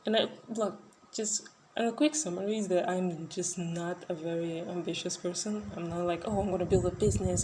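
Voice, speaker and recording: A woman's voice: fairly low pitch, darkish tone, slight background noise, poor audio. A woman in her twenties talking in a casual solo podcast monologue, rambling and hesitant with many fillers and restarts. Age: 20s. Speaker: podcast host.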